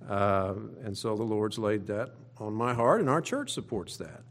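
A man's voice: lowish voice, animated, measured pace. A middle-aged man with an Oklahoma accent preaching a sermon as a pastor, in a folksy, conversational way with emphatic rhetorical questions. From Oklahoma, United States. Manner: folksy, plain-spoken, conversational. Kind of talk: sermon to own congregation.

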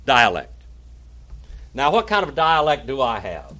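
A man's voice: mid-range voice, huge pitch swings, measured pace, pushed and bright. A man from Oklahoma with an Oklahoma accent, teaching a Bible class in a folksy way and calling on listeners by name. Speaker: pastor.

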